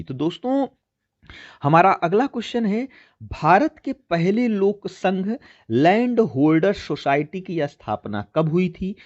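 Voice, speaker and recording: A man's voice: sits high, lo-fi sound. A man presenting an exam-prep lesson as a teacher, explaining answers and often posing questions that he then answers himself. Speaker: teacher presenting a history quiz.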